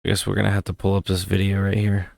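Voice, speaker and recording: Casual vlog host, male, lilt, low and gravelly, voice fading from a cold, dry close sound. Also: monotone, fast talker, darkish tone, dry recording. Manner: laid-back, rambling, thinking aloud. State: losing his voice from a head cold. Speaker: tech channel host. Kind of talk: casual commentary on hardware.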